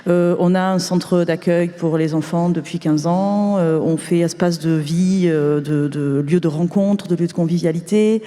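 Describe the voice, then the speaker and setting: Mellow woman panelist, reverberant room. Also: lowish voice, fairly even intonation, measured pace, hardly any pauses. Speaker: panelist from a cultural organisation. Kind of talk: spontaneous panel testimony. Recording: reverberant hall sound.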